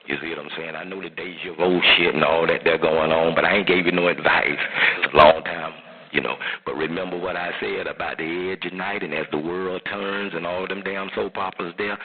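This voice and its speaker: Very deep-voiced older-sounding uncle on muffled phone. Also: male voice, fairly even intonation, a little fast. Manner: avuncular, advising, repeats himself for emphasis. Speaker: uncle advising his jailed nephew. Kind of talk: casual phone conversation. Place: phone line. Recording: muffled, noisy recorded jail phone call.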